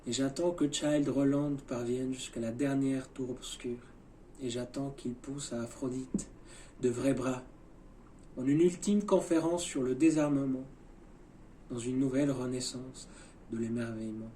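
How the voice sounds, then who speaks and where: A man gives a dramatic poetry recitation in a warm, relaxed timbre at an average male pitch. His phrasing is deliberate and incantatory, dropping quiet and then rising with emphasis. Faint ambient noise sits behind the voice.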